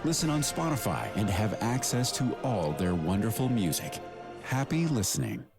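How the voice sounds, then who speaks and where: A man's voice: fairly low pitch, very animated, moderate pace. A man reading scripted promotional narration as an advert announcer. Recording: radio promo over loud music. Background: loud music.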